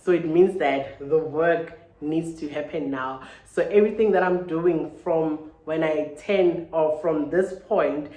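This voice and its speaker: A woman's voice: low voice, unhurried, hardly any pauses, dark tone. A woman in her thirties giving a casual, earnest motivational talk, often adding "right?" and "you know". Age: 30s. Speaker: vlogger. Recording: roomy echo.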